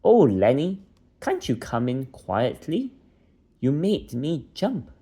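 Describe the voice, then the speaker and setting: A man's voice: mid-range voice, wildly swooping pitch, slow pace, dark tone, slightly rough, pitched above the performer's own voice, heavily acted. A man voicing a cross, emphatic old granny in a children's storybook. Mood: cross, startled.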